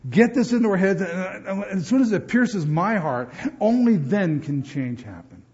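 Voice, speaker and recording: A man from New Jersey preaching a sermon as pastor, animated and often repeating words as he builds emphasis. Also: high-pitched, moderate pace, highs cut off.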